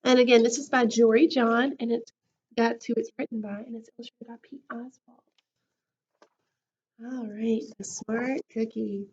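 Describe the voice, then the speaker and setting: A woman school counselor reading a picture book to children in an expressive, casual storytime style. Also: a little high, moderate pace, muffled recording, a little hiss or hum. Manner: animated, acts out the character lines. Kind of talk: expressive children's read-aloud with casual asides.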